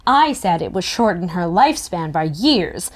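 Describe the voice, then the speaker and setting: A woman reading a first-person novel aloud in an expressive, youthful storytelling manner. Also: on the low side, moderate pace. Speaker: narrator in a table read.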